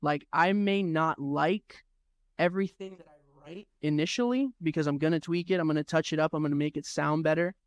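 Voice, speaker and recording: Opinionated young man, slightly high voice. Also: fairly even intonation, brisk, no room sound. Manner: opinionated, reflective, speaks in long stretches. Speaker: rapper guest. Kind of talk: casual chat. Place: studio room.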